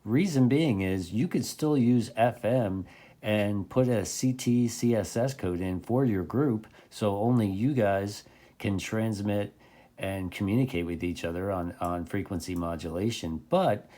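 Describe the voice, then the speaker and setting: Male hobbyist presenter, low and very mellow, informal recommendation style with halting, repetitive phrasing. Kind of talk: casual product recommendation talk.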